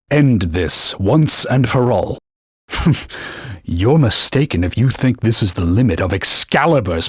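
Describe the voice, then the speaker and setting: Stern talking sword, knightly mentor, husky, formal, very lively pitch, dry studio sound. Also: male voice, dry recording, full character voice. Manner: stern, lecturing, barks urgent warnings. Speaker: video game character. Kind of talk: game voice clips. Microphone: studio mic.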